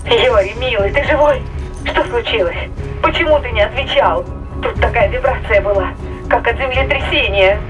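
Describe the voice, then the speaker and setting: An actress playing an excitable, anxious female spaceship crew member, heard through a radio in a science fiction audio drama. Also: fairly high pitch, moderate pace. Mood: worried. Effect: radio transmission filter. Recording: distant radio-link voice over music.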